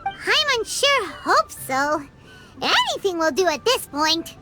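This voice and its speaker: A woman's voice: extremely high pitch, sing-song, takes it slowly, loud music behind the voice, heavily acted. A woman voicing a tiny, childlike fairy companion in a video game, cheeky and curious, with a perky, cutesy way of talking. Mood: unconvinced. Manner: perky, cutesy, speaks of herself by name. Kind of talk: video game character acting.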